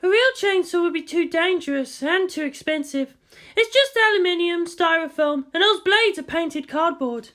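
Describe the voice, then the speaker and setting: A narrator voicing a brisk, confident young woman artist with a breezy, teasing, matter-of-fact manner. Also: very high voice, lively intonation, a bit bright, pitched above the performer's own voice.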